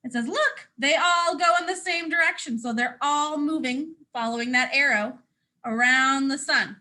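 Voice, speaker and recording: A woman teacher reading aloud to young children, explaining things simply in an animated way. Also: high-pitched, normal speed. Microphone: speaking to a hand-adjusted camera.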